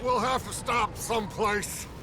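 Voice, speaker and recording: An actor playing a concerned member of an outlaw gang on the trail in a video game. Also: male voice, high-pitched, steady tone, measured pace, pushed and bright, soft background music. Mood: urgent, concerned. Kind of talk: dramatic video game acting.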